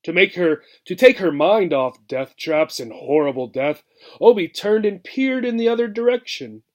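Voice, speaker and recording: A man reading a children's book aloud expressively, as a teacher would, pausing to explain. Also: high voice, animated, dull audio. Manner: explanatory, checks in with "right".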